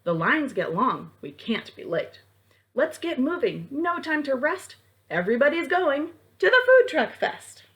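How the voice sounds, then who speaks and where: A woman's voice: high-pitched, very animated, unhurried, a bit bright. A woman leading a children's storytime as a librarian, reading aloud and speaking directly to young listeners with prompts and questions.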